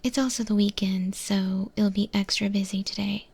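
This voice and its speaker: A woman voicing a wry, easygoing eldritch monster chef in a breathy, whispered ASMR roleplay, speaking with hesitant, thoughtful pauses. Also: mid-range voice, fairly even intonation, darkish tone. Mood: businesslike, reassuring. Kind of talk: ASMR roleplay, softly spoken to the listener.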